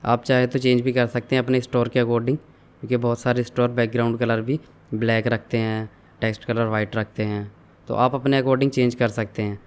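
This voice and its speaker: A young man calmly walking viewers through an online store setup, step by step, mixing English terms into his explanations. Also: mid-range voice, normal speed, clean tone. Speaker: online tutorial instructor. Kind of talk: screen-share software walkthrough.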